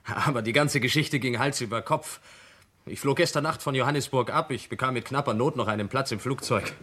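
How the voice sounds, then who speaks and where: An actor playing a self-assured nephew and heir under suspicion in a German radio crime play, indignant and emphatic. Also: male voice, mid-range voice, a little fast, a bit bright, natural voice.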